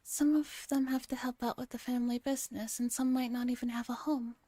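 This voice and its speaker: A woman voicing an earnest, soft-mannered high-school girl who acts as the teacher in a visual novel. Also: steady tone, a little fast, dry recording, lightly acted.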